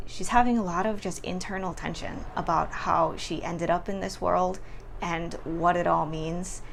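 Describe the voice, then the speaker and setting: Young woman chatting, clearly noisy recording. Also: lowish voice. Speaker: book reviewer vlogger. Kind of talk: casual chatty book review to camera. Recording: clearly audible background noise.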